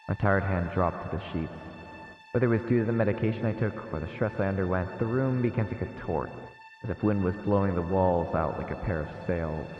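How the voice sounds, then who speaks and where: A man livestreaming a visual novel, reading its text aloud as expressive, dramatic narration with his own reactions. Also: sits low. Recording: echoey reverb, muffled, music behind. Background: game music.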